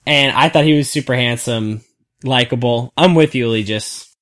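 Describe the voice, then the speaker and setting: A woman's voice: very deep voice, moderate pace. A woman co-hosting a casual podcast, gushing and rambling as she chats. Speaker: podcast co-host. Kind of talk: casual chat.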